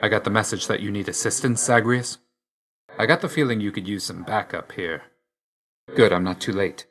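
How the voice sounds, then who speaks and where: A man playing a grim, aloof god of death in a video game, terse and deadpan, stern yet grudgingly loyal. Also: lowish voice, normal speed. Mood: matter-of-fact. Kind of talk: scripted game character lines.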